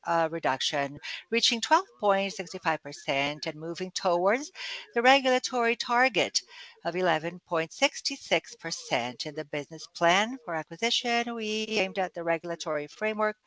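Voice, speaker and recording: A company executive presents quarterly figures with a bright, slightly high voice, pausing often with fillers and restarts. The sound is dry and close. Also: male voice, animated, dry recording, rough recording. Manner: halting, many fillers and restarts. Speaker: company executive on earnings call. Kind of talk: formal earnings presentation.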